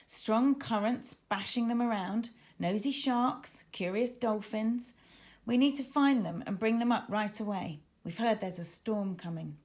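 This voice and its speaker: A woman's voice: on the high side, unhurried, darkish tone, close to an everyday voice. A woman reading the part of a confident, friendly young girl marine biologist in a children's storybook, explaining things in an assured way. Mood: purposeful.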